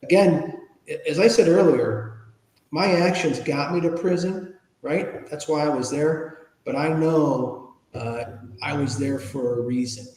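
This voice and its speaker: A man's voice: fairly high pitch, measured pace, a little mellow. A man in his fifties or older talking casually as an interview guest, plainspoken and informal, rambling a little. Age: 50s or older. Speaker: podcast guest, ethics speaker and author. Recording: echoey, reverberant room sound.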